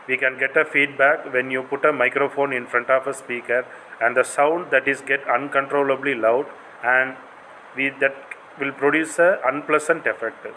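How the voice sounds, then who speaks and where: A man's voice: medium pitch, bright, forceful tone, a little hiss or hum, low-quality recording. A man with an Indian accent giving a didactic, explanatory lecture as a college teacher, in non-native English phrasing.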